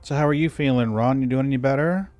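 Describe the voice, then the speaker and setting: A man's voice: mid-range voice, fast talker, no room sound, soft background music. A man streaming a game, chatting casually with a friend, talking to himself and humming idly. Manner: relaxed, talks to himself, hums idly. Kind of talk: casual chat while gaming.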